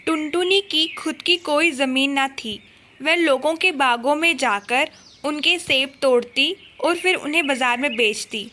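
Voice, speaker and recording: A woman's voice: high voice, a little slow, sharp tone. A woman narrating a scripted children's story.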